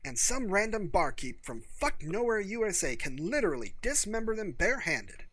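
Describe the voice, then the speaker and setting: A man's voice: high-pitched, big pitch swings, normal speed, a bit bright, dry recording, pitched above the performer's own voice, full character voice. A man voicing a bitter, agitated young American barkeep who talks in emphatic, sweary, sarcastic rants.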